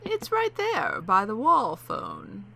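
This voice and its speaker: A wary elderly widow speaks slowly, her voice rising and falling in a lively, fairly high tone, as a woman acts her out. She stays polite but guarded, as in an audio drama. Faint room ambience sits behind her. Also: gravelly, background noise, full character voice. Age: older. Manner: polite, wary, slow and lilting. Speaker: homeowner.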